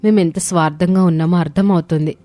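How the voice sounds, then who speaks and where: Woman acting a mellow, lowish young daughter-in-law. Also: steady tone, fast, close to an everyday voice. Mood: remorseful. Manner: respectful, earnest. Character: earnest young daughter-in-law of a village family.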